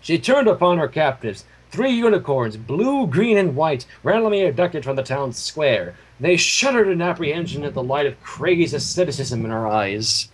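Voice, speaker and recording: A man reads a story aloud as narrator. His voice sits a little high and moves around in an animated way, with a slightly husky edge. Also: moderate pace, hardly any pauses, low-quality recording. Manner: animated, profane asides. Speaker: fan fiction reader and narrator. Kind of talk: narrated story reading with casual asides.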